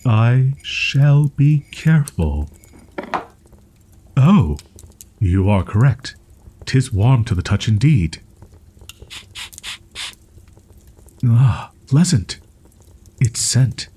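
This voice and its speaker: Man playing a husky, halting, proud wolf-boy. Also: medium pitch, big pitch swings, warm tone, full character voice. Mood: cautious, savoring. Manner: archaic formal diction, halting, flustered stammers. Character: shy, proud tsundere young wolf-boy, wary of humans. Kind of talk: audio roleplay, character acting, ASMR.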